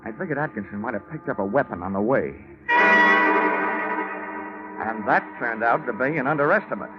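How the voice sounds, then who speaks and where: An actor playing a hard-boiled American insurance investigator in an old-time radio drama, wry, slangy and terse. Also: male voice, medium pitch, expressive, normal speed, over loud music, lightly acted. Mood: tense, wry. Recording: muffled vintage radio recording.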